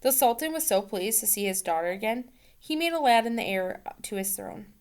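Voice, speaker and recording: A woman reads a children's story aloud in the manner of a teacher addressing students. Her delivery is expressive, with a playful, casual touch. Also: fairly high pitch, a little fast, smooth.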